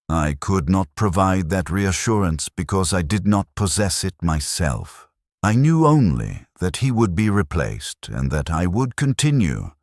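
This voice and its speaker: A man reading a scripted first-person war story in a detached, clinical and measured manner. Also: extremely low pitch, dry recording, studio quality. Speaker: narrator of a science fiction war story.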